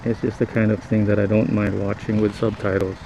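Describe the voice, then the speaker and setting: Loud motorbike and traffic noise surrounds a man from the Philippines whose first language is Tagalog. His voice is fairly low and quite even as he rambles reflectively in a casual vlog, pausing and hesitating, recorded on a helmet lav mic. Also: gravelly, lo-fi sound. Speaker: moto vlogger. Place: outdoors, riding a motorcycle in traffic. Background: motorbike engine and road traffic noise.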